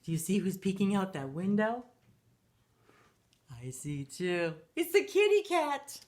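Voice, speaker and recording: Slow, sing-song storytime woman. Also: medium pitch, wildly swooping pitch, a little mellow. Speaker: children's storytime reader. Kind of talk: reading a picture book aloud to children.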